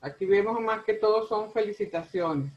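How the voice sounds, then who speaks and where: A man from Venezuela moderating a virtual conference, courteous and halting, often repeating words. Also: high-pitched, slight background noise. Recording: dull lo-fi audio.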